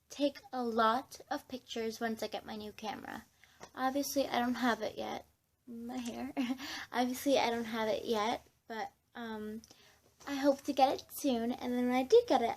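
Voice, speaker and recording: A woman's voice: fairly high pitch, darkish tone. A young woman chatting casually to camera as a vlogger, in a scattered, giggly way, often interrupting herself. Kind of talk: casual rambling chat to camera.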